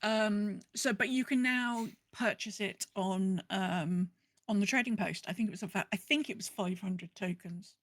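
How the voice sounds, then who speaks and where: A woman's voice: mid-range voice, moderate pace, dry recording. A woman co-hosting a podcast, giving a casual rundown of gaming news in a conversational, thinking-aloud way. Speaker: podcast co-host.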